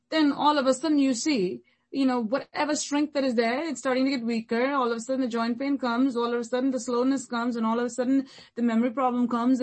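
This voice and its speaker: A woman's voice: high voice, slightly rough. A woman pastor preaching a sermon, repeating phrases and building long emphatic lists.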